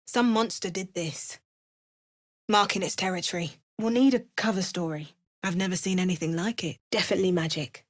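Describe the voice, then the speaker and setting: An actress playing an adventurous, wry woman hero in a fantasy video game, with a measured, understated delivery. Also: lowish voice, no echo, clean studio sound, natural voice. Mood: musing, wry. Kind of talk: scripted fantasy game dialogue.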